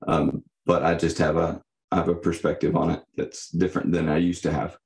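A young man giving reflective, personal answers as a podcast guest, in a halting, thoughtful manner. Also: rumbling low, little pitch movement, gravelly, a little mellow. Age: young adult. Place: indoor room, on a video call. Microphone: condenser microphone.

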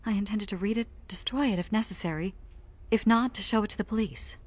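A woman's voice: medium pitch, fast talker, close to an everyday voice. An actress playing an earnest, loyal young woman in a pulp thriller audio drama, explaining herself sincerely. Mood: earnest, confiding.

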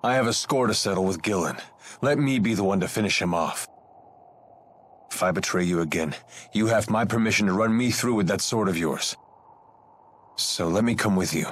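An actor playing a roguish, cocky mercenary in a video game dub, with a casual, wry way of talking. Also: male voice, lowish voice, normal speed, pauses often, husky. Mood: earnest, resolute. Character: roguish, cocky mercenary man with easy swagger.

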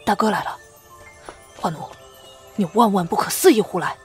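沙哑胆怯的小王子. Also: female voice, medium pitch, normal speed, slightly rough, faint music behind. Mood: anxious. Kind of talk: Chinese historical audio drama acting.

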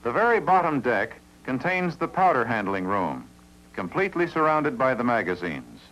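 A man with an American accent giving measured, clearly enunciated scripted narration in a mid-century instructional film style, on an old film soundtrack. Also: mid-range voice, very animated, a bit bright, poor audio.

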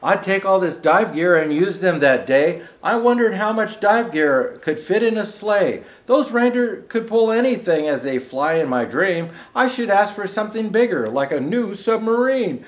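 An older man playing a jolly old Santa Claus, gently reading a rhyming storybook aloud with chatty asides. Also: high-pitched, lively intonation, normal speed, hardly any pauses. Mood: storytelling. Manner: gentle storyteller, showing pictures to listeners. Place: indoor room set with fireplace and tree. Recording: echoey room.

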